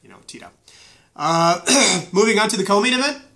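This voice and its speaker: A man's voice: high voice, brisk, fairly bright tone. A man in his thirties, stuffy from a flu, casually reviewing fights with many fillers and restarts, in a small room on a webcam.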